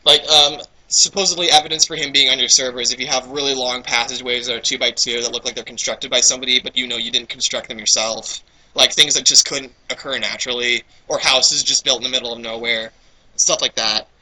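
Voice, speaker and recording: A man's voice: medium pitch, steady tone, fast talker, bright, forceful tone, rough recording. A young man giving a casual, irreverent comedic reading, breaking off into banter and swearing. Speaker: reader and commentator.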